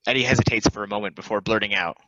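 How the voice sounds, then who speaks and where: A man's voice: on the low side, steady tone, very fast, dull audio, background noise. A man reading out stage directions as scripted narration for a play table read.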